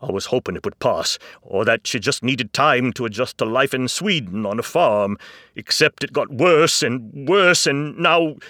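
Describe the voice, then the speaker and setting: A man voicing a distraught older father in an audiobook, breaking grave family news in an upset, emphatic, halting way. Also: medium pitch, lively intonation, sharp tone, no room sound, studio quality, pitched above the performer's own voice. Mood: distressed, emphatic. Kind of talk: audiobook character dialogue.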